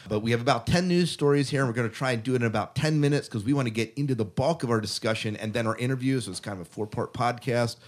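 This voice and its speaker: A man's voice: medium pitch, rapid-fire, hardly any pauses. A man hosting a podcast, chatting casually through headlines and prompting his co-hosts. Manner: conversational, prompts co-hosts with questions. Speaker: podcast host. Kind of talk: casual chat, reading headlines.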